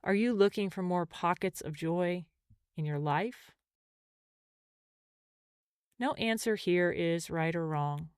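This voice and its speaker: A woman guides a meditation in a slightly low, clear and smooth voice. She speaks gently, leaving space between measured phrases. The sound is dry, with no room around it. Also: no room sound. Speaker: mindfulness and meditation teacher.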